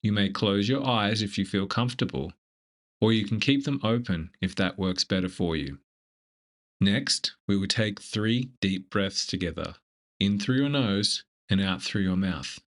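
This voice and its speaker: A man leading a scripted guided meditation in a gentle, measured, instructive manner. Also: lowish voice.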